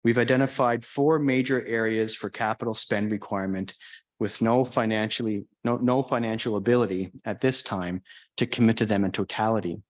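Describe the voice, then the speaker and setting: A man giving a formal report to a town council, speaking in a measured, monotone way. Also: fairly low pitch. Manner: self-described monotone, measured and explanatory. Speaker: town chief administrative officer. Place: council chamber.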